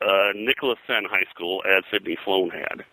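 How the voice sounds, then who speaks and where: An older man being interviewed as a historian, telling anecdotes in a halting, rambling way and often clearing his throat. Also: low-pitched, bright, forceful tone, no echo, rough recording. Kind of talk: anecdotal interview talk about old-time radio and pulps.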